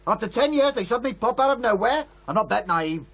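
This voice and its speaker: An actor playing a dismissive British radio boss with a gravelly, husky voice, curt and condescending, in a radio drama. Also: male voice, very high voice, animated, fast talker, a bit bright, full character voice. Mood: firm, exasperated. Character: exasperated, dismissive radio boss standing firm.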